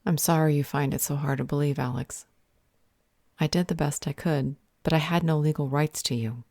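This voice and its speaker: Lady acting stern vampire healer woman, low mellow voice, protective, firm and earnest. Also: steady tone, normal speed, dry recording, clean studio sound. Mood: earnest, tender. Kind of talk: audio roleplay acting.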